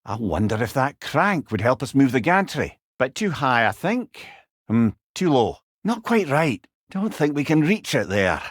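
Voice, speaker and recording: Old Scottish sage, lively swooping intonation, wry, eloquent, rueful, video game companion, very dry close sound. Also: male voice, mid-range voice, measured pace, breathy edge, no room sound. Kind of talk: video game character dialogue.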